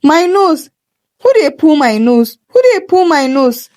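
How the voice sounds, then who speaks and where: A woman's voice: high voice, very animated, measured pace, pitched above the performer's own voice. A woman with a Nigerian accent voicing a wicked, blustering, indignant landlady in a folktale. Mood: panicked. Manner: loud, indignant Pidgin exclamations.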